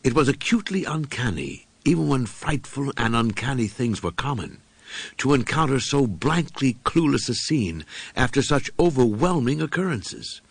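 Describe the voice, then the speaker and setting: A man narrating a horror story as an audiobook reader, with grave, deliberate and dramatic phrasing. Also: medium pitch, no echo.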